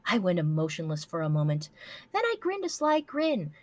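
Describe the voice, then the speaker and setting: A woman's voice: lowish voice, wildly swooping pitch, normal speed, a little mellow, no room sound. A woman giving a playful, theatrical amateur live reading of a story, close to the microphone. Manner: theatrical, foul-mouthed, playful.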